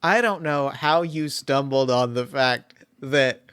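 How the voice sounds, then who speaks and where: A man's voice: mid-range voice, slow pace, fairly bright tone, smooth, no room sound, clean studio sound. A man hosting a podcast as game master, chatting with enthusiasm after a game, often repeating his words as he goes. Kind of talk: casual post-game chat.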